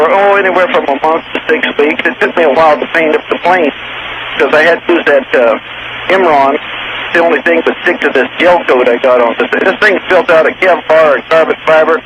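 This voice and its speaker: A man with a Texan accent calling in to a radio show, talking in a rambling, folksy, down-home way. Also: on the high side. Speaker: radio call-in caller. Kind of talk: live call to a radio show. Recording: noisy phone line on a radio broadcast.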